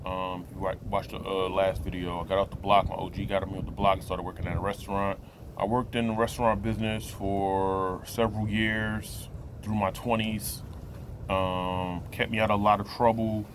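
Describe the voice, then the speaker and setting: Guy late 30s, kinda deep voice, slangy off the cuff vlog talk, noisy like a vehicle. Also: level tone, moderate pace. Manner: colloquial street slang, frequent "you know" and "man". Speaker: vlogger giving a personal testimony. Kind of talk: casual unscripted personal talk to viewers.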